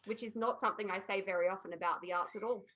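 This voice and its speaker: A woman's voice: mid-range voice, fairly even intonation, rapid-fire, a bit bright, dull audio. A woman on a podcast panel giving blunt, emphatic opinions in casual conversation.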